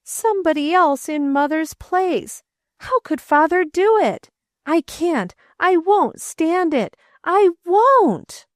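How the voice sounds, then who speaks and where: An earnest young boy from a storybook, read by a woman, his voice lifted high and urgent. He pleads slowly and with feeling. The sound is close and dry, with no room around it. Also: expressive, dry recording. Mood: anguished. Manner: eager, pleading, rising to outbursts. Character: earnest boy, grieving and indignant.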